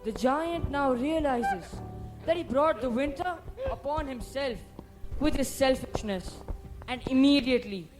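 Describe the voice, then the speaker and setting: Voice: sits high, lively intonation, measured pace, music in the background, rough recording. A narrator telling a storybook tale in a theatrical style on stage.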